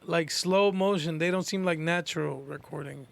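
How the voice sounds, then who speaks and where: A man's voice: a little high, normal speed, vocal fry, fairly bright tone, dry recording. A man co-hosting a casual podcast in a blunt, slangy way. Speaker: podcast co-host.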